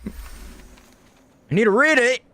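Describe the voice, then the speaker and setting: A man plays through a video game and reads its text aloud at an unhurried pace. He sometimes trips on a line and corrects it, with music playing clearly behind him. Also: very high voice, expressive, sharp tone. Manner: stumbles over lines and corrects himself. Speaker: gaming video host reading game dialogue aloud. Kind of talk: game playthrough reading dialogue aloud.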